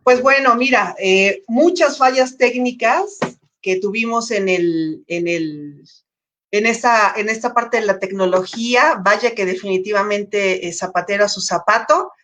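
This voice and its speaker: A woman's voice: mid-range voice, unhurried, fairly bright tone. A woman interviewer hosting an interview over a video call, chatting in a rambling way full of fillers. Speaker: interviewer, host.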